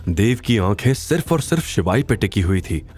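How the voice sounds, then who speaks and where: A man's voice: fairly low pitch, sing-song, moderate pace, a little mellow. A man narrating a scripted audio story in a dramatic style. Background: background music.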